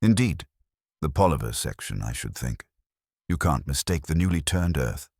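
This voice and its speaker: A man as a pompous, pious deep-voiced eccentric. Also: big pitch swings, moderate pace, darkish tone, dry recording, studio quality, exaggerated voice. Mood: grave, self-important. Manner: grave, fussy, pedantic. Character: frail, pious, pompous eccentric male vicarage lodger.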